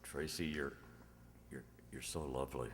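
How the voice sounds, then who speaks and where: A man's voice: extremely low pitch, level tone. A man playing an awkward, smitten suitor in a radio romantic comedy, speaking hesitantly. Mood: ardent. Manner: halting, hesitant. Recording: echoey hall stage recording.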